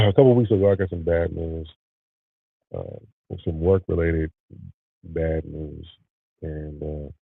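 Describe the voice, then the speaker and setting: Low, gravelly male host, congested and tired, relaxed livestream chat, tinny phone-line sound. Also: slow, pauses often, no room sound. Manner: relaxed, talks to live viewers. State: nasally congested. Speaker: podcast host. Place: home studio.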